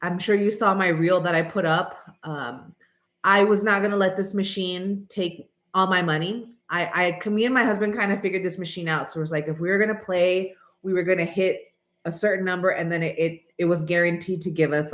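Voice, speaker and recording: A woman's voice: on the low side, fairly even intonation, fairly quick, echoey room. Congested, nasal woman in her thirties. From American. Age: 30s. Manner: chatty, rambling, frequent throat clearing. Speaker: channel host. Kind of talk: casual vlog chat.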